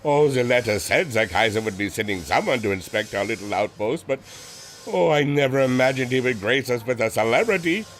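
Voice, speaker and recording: An actor playing an earnest, proud inventor with a formal, theatrical manner in a pulp audio drama. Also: male voice, medium pitch, animated, brisk, a bit bright, faint music behind, lightly acted. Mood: proud, excited.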